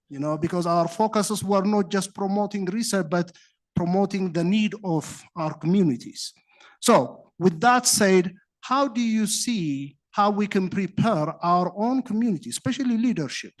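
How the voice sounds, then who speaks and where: Man, Ethiopian accent, high voice, earnest, explanatory, hesitant pauses, academic panel question. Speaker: engineering college dean, audience questioner. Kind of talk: spoken question at a panel discussion.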